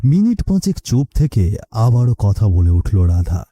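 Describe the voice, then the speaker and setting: A man's voice: fairly low pitch, very animated, a little slow, mellow. A man narrating a scripted story as its storyteller.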